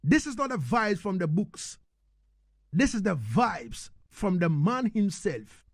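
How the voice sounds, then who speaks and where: A man's voice: sits high, sing-song, slow pace, dry recording, studio quality. A man hosting a live radio interview, speaking emphatically and directly to his listeners.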